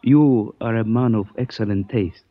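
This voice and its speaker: An actor playing a suave male antique dealer who speaks in stilted, formal phrases, measured and evasive, in 1940s radio style. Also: lowish voice, dry recording, natural voice. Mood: cryptic, courteous. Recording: dull dry old radio recording.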